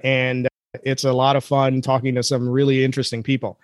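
A man's voice: medium pitch, monotone, brisk, no room sound, clean studio sound. A man hosting a business podcast in an upbeat, jokey way, calling himself a nerd. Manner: enthusiastic, jokey, self-described nerd. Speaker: podcast host.

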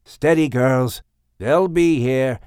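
A calm old storybook patriarch, acted by a male narrator, speaks firmly and reassuringly. He is extremely slow, with a smooth, clear tone. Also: medium pitch, no echo, clean studio sound, close to an everyday voice. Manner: steady, reassuring.

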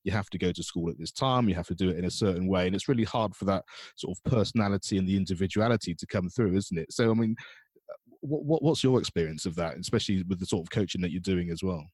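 A man hosting a podcast, asking relaxed, chatty questions. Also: low-pitched, slightly rough, dry recording, studio quality. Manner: slow dramatic intro reading, then relaxed chatty questions. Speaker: podcast host. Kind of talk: scripted intro then casual interview.

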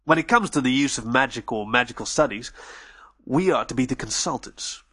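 A man voicing a proud, self-assured male werewolf gentleman who lectures smugly. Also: medium pitch, expressive, brisk, breathy edge.

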